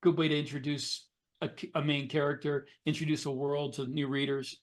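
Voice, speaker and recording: A middle-aged man talks as a podcast co-host in a casual, explanatory way. His voice is slightly high for a man. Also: flat delivery, a little fast.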